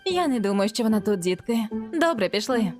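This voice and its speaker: A woman voicing a caring grown-up female dog in a cartoon fan dub, gentle and reassuring. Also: medium pitch, big pitch swings, a little fast, loud music behind the voice, close to an everyday voice.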